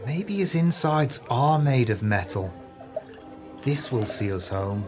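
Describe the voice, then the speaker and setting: An actor playing a sly, callous swindler's sidekick in an audio play, wordy and smoothly flattering. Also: male voice, medium pitch, slow, music in the background. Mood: gleefully plotting. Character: sly crooked swindler's accomplice, wheedling and callous.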